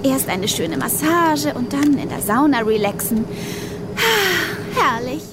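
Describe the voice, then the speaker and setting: A woman's voice: high voice, sing-song, very noisy, full character voice. An actress playing a dreamy teenage girl who loves wellness, in a radio play clip. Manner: dreamy, drawn-out.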